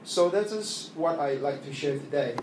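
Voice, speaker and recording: A man whose first language is Chinese preaches in English, his voice sitting a little high. He speaks haltingly and earnestly, full of fillers and restarts. The room is echoey, with a faint hum behind. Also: animated. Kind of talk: sermon with opening prayer.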